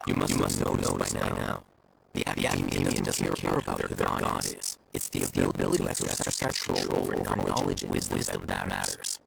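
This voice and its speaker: A low, gravelly, aloof scholar. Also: male voice, wildly swooping pitch, moderate pace, heavy background noise, heavily acted. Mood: disdainful. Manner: cool, probing, articulate. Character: aloof, cerebral male scholar interrogating suspects. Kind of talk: video game cutscene acting.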